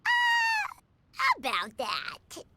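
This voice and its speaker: Very high, chirpy childlike fairy sidekick. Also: female voice, wildly swooping pitch, extremely slow, pushed and bright, a little hiss or hum, heavily acted. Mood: flustered, excitable. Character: small chirpy childlike fairy companion, eager and excitable. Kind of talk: video game voice acting.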